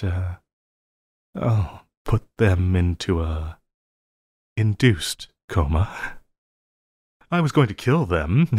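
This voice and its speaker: A man playing an obsessive, lovesick supervillain in an intimate audio roleplay, halting and crooning, by turns sinister and pleading. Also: low voice, a little mellow, breathy edge, no room sound, clean studio sound, heavily acted. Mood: sheepish confession. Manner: halting, crooning, breaking into laughter.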